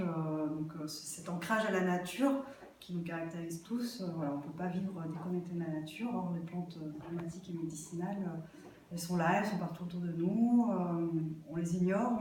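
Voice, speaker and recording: A woman from Belgium answers interview questions in a mellow, relaxed voice. She speaks off the cuff and pauses often to think. She sounds set back from the microphone in a very reverberant space. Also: lowish voice, slight background noise. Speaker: interview guest, aspiring herb grower. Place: echoey festival venue. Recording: very echoey room, distant microphone.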